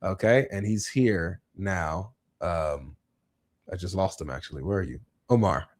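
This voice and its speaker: Low-voiced, emphatic man hosting. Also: measured pace, darkish tone. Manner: emphatic, repeats phrases for emphasis. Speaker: livestream host. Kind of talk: informal religious discussion.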